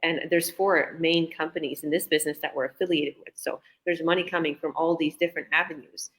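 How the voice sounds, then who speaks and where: A woman's voice: low voice, steady tone, brisk, fairly bright tone, low-quality recording. Chatty woman on a video call. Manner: chatty, enthusiastic, many restarts. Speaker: online business owner. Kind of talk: casual group video call.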